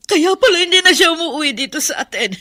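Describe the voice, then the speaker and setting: A woman's voice: very high-pitched, animated, fast, bright, forceful tone, full character voice. A woman playing a weary, forgiving, worried mother in Filipino radio drama, sighing and emotional. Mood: tearful, distraught. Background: music under the voice.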